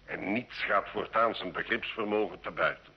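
An actor playing a sly, learned medieval alchemist in an old radio drama, measured and courtly, with a sardonic edge. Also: male voice, sits low, vocal fry, breathy edge, dull audio, exaggerated voice. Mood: mystical, measured. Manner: slow, measured, deferential yet sardonic.

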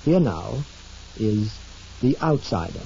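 A man announcing a 1960s radio drama from a script, slow, solemn and formal, on a scratchy old recording. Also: fairly low pitch, big pitch swings, gravelly, dark tone, highs cut off.

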